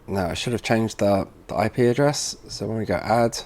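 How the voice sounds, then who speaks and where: Mellow low-voiced man, casual software walkthrough. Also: a little fast, slight background noise. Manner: informal, thinking aloud while working. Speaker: tutorial presenter for a security products seller.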